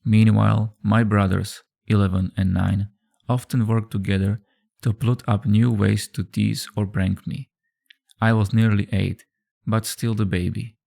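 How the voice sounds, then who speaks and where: A man reading a scripted horror story as a narrator, in a measured, subdued storytelling manner. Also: fairly low pitch, level tone, creaky, warm tone. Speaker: creepypasta narrator. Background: background music.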